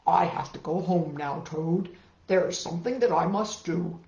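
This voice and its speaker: A woman's voice: fairly low pitch, normal speed, vocal fry, darkish tone. An earnest, caring frog from a children's storybook, as a woman reads him, always eager to coax and encourage a friend. The recording is roomy and somewhat dull. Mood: concerned. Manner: earnest, coaxing.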